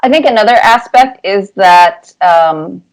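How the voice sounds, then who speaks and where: A woman's voice: medium pitch, animated, measured pace. Thoughtful middle-aged woman being interviewed. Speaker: interview guest, cartoonist. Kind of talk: conversational interview answers.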